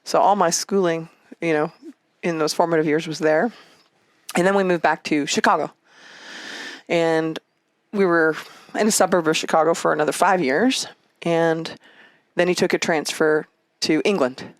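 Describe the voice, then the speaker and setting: A woman's voice: sits low, fairly even intonation, normal speed, dry recording. A woman in her fifties from Canada, telling her life story as an interview guest in a casual, chatty and reflective way. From Canada and Egypt. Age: 50s.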